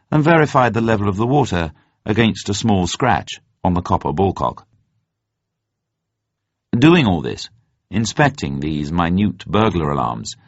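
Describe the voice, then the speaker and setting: A man reading a spy novel aloud as an audiobook narrator, in measured, deliberate phrasing. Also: low-pitched, dry recording. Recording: dull, muffled, dry close recording.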